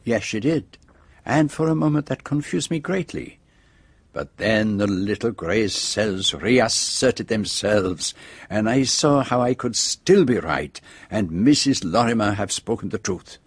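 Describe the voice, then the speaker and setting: A shrewd foreign sleuth in a radio mystery, speaking with a French-speaking accent in a close, dry sound. His voice is slightly husky, and he sets out each point precisely, with theatrical self-satisfaction. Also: on the low side, expressive, measured pace, no room sound, exaggerated voice. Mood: hushed storytelling. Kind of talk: radio drama acting.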